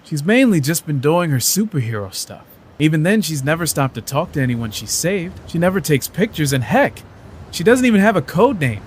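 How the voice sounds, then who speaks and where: A man acts as an eager young news photographer in an audio drama. His voice is fairly high and swings about, boyish and earnest, over faint noise. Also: normal speed. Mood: concerned. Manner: animated, apologetic, earnest.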